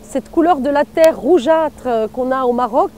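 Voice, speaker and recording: A middle-aged woman from Morocco who is an artist, speaking thoughtfully in an interview with frequent hesitant pauses. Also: high voice, dark tone, slight background noise. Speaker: artist and cultural organizer. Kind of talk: reflective interview.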